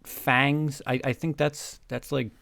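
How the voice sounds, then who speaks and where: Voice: mid-range voice, flat delivery, slow pace, creaky, fairly bright tone, no echo. A co-host in casual banter, reacting to a read-aloud with hesitant, bemused, muttered asides. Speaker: co-host reacting to fan fiction.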